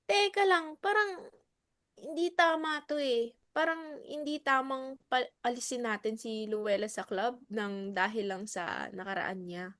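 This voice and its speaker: A woman's voice: fairly high pitch, a little slow, a bit bright, dry recording, clean studio sound. A woman with a Filipino accent playing a hesitant, peacemaking club member in a dramatic reading. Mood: torn. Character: hesitant, conciliatory clubwoman keeping the peace.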